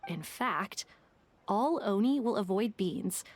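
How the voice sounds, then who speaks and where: A woman playing a composed, businesslike guild clerk in an anime-style video game, measured and formal in delivery. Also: medium pitch, expressive. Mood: helpful. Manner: measured, formal, matter-of-fact.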